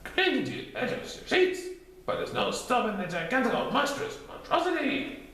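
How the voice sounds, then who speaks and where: A man voicing a boisterous, excitable, bossy animal friend, dramatic and hooting, in a storybook reading. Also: extremely high pitch, moderate pace, creaky, heavy reverb, voice pushed up, full character voice. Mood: dramatic glee.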